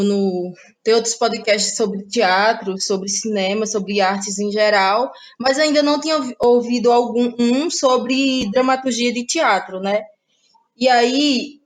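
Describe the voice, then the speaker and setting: A woman in her thirties with a Cearense Brazilian accent, a playwright and actress giving thoughtful, hesitant interview answers. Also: on the high side. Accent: Brazilian Portuguese, Cearense. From Ceará, Brazil. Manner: thoughtful, hesitant, many fillers like "né".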